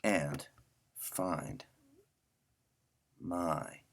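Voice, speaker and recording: A man's voice: deep voice, drawn-out words, gravelly, fairly bright tone, slight background noise. A man giving a casual audio editing tutorial, informally muttering to himself as he works. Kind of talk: casual screen-recorded software tutorial.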